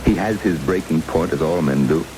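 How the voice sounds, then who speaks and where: An actor playing a suave, menacing villain in a vintage radio drama, speaking with mock courtesy and deliberate, gloating menace. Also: male voice, very deep voice, music in the background, low-quality recording. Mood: smooth, threatening. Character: suave, menacing male villain interrogating his captives. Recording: old radio broadcast under loud rain-like noise.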